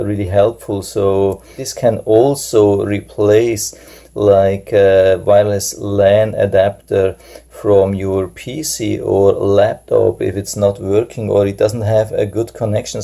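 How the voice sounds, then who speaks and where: A man's voice: on the low side, flat delivery, slow pace, vocal fry, dark tone. A man whose first language is German, casually reviewing a gadget he unboxes at a table, hesitant, with many filler sounds. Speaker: product reviewer. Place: indoors at a table.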